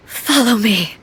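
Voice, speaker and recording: An actress playing a regal, stern immortal warrior goddess in a video game, speaking in a formal, grave, commanding manner. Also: female voice, a little high, fairly bright tone, exaggerated voice. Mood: weary, resolute. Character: regal, solemn female archon, stern immortal warrior ruler. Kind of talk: scripted video game voice acting. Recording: faint noise behind the voice.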